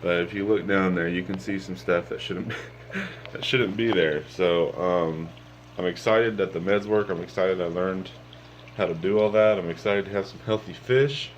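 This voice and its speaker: A young man with a very deep, low voice who has just woken up and sounds sleepy. He rambles in a relaxed way, full of ums, while filming his home aquariums on a handheld phone. A faint hum sits behind him. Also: normal speed, low-quality recording. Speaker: aquarium vlogger. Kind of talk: casual walkthrough vlog. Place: home room with fish tanks, indoors. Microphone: handheld phone camera.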